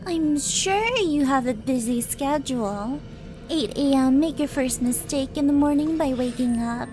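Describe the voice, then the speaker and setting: Female actor as devil's daughter for roleplay audio drama: high voice, unhurried delivery, mock-sweet, sadistic teasing, music underneath. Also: darkish tone. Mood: teasing, sarcastic.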